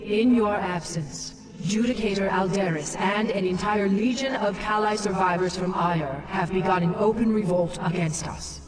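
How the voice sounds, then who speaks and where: Man as a solemn, high-voiced alien leader, echoing. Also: moderate pace, lightly acted. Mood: solemn, concerned. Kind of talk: video game voice acting. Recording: echoing, distant, music behind. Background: music.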